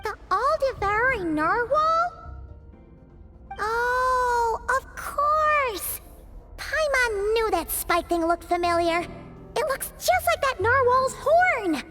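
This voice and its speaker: A woman voicing a tiny, excitable childlike fairy companion in a video game, with a squeaky voice. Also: slow pace, over loud music, full character voice. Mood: surprised. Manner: exclamatory, speaks of herself in third person. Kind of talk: scripted video game acting.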